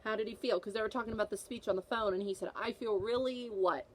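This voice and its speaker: A woman's voice: mid-range voice, steady tone, racing, rough recording. A woman teacher reading a picture book aloud to children, with an instructive manner, repeating questions to prompt her young listeners.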